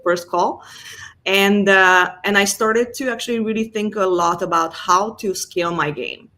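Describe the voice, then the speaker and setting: A woman with a Hungarian accent speaks in a relaxed, conversational way as an interview guest. Her pitch sits mid-range for a woman. She pauses with frequent "uh"s as she tells her story. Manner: warm, conversational, many fillers. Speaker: guest, revenue enablement executive.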